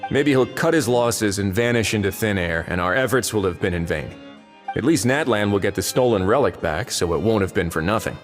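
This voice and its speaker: An actor plays a calm, authoritative warden in a video game. His fairly low voice stays cool and measured as he gives dry commands, with soft music underneath. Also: lightly acted. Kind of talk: video game cutscene dialogue.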